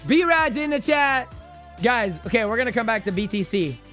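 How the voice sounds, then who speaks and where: High-voiced man streaming, music behind. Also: normal speed. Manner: excitable, slangy, joking banter with viewers. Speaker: livestream host doing crypto chart analysis. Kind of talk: casual live stream commentary. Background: music playlist playing.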